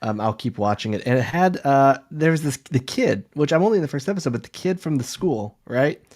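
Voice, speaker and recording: A man co-hosting a podcast in casual chat, speaking in an informal, somewhat halting conversational way. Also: mid-range voice, lively intonation, a little mellow. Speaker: podcast co-host.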